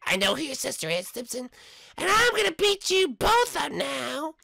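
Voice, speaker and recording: A woman voicing a loud, taunting schoolyard bully boy who turns cowardly, in a homemade cartoon play. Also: sits high, big pitch swings, moderate pace, vocal fry, no room sound, full character voice.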